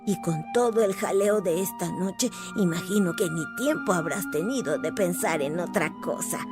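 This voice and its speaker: A woman's voice: lowish voice, music in the background, close to an everyday voice. Woman acting a sweet, doting old lady. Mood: sweetly welcoming. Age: older. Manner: sweet, doting, unhurried endearments. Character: kindly, chatty old woman neighbour offering sweets. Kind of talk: audio horror story acting.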